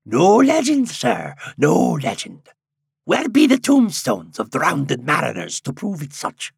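A man voicing a frail, excitable, pious old seaside man in a story, with archaic rustic speech and drawn-out exclamations. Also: a little high, creaky, slightly rough, dry recording, clean studio sound, full character voice. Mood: excited insistence.

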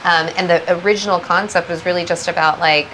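A woman's voice: on the low side, fairly even intonation, brisk, a bit bright, a little hiss or hum, low-quality recording. A woman from Southern California, a guest brand founder, talking reflectively in a casual podcast interview and thinking aloud.

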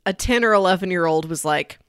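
A woman with a fairly low voice talks casually as a podcast co-host. The sound is dry and close. She tells things in a conversational, playful storytelling way, with little asides. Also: fast talker, clear, resonant tone, no room sound. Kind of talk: casual film discussion.

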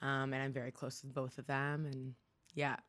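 A woman with a Canadian accent speaks in a low register. She talks as a guest in an interview, recounting personal experiences candidly and reflectively, often checking in with "you know". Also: little pitch movement, moderate pace, fairly bright tone. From Ontario, Canada.

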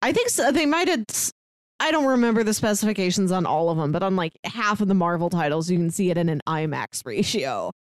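A woman's voice: on the low side, big pitch swings, fairly quick, no room sound, clean studio sound. A woman co-hosting a podcast in a casual, conversational way. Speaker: podcast co-host.

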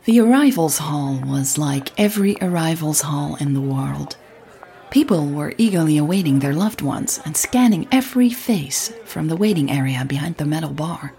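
A woman narrating a scripted audio drama in a measured, understated, wry storytelling manner. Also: deep voice, animated, mellow, slight background noise.

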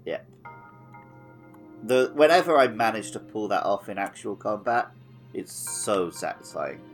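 A man with a fairly low voice talks casually as a podcast co-host. He sounds like he is chatting informally about video games. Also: very animated, unhurried, soft background music. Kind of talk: casual gaming chat.

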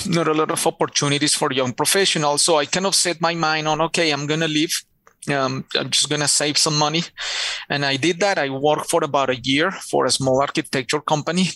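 A man's voice: mid-range voice, fairly bright tone, no room sound. Colombian guy on a podcast, middle aged, earnest, dry close sound, spanish first language. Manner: earnest, repeats words as he searches for them. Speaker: podcast guest. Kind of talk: relaxed storytelling interview.

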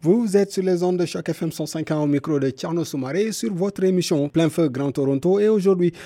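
Mellow-voiced male radio host. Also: on the high side, soft background music. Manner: formal, slightly halting. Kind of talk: radio interview hosting.

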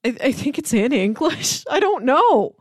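A woman's voice: high voice, big pitch swings, unhurried, no echo, studio quality. A woman co-hosting a podcast, chatting casually about films. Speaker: podcast co-host.

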